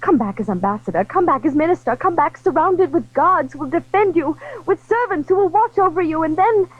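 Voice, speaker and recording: A woman's voice: very high voice, expressive, a little fast, phone-quality sound. A woman playing an anguished, majestic queen in an audio drama, speaking formally and pleadingly, torn between love and honor. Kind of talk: theatrical audio drama acting.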